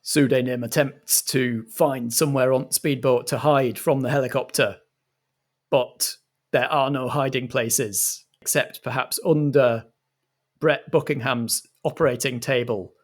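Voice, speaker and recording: A man narrating a roleplaying game as game master in a measured, deliberate storytelling manner. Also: medium pitch.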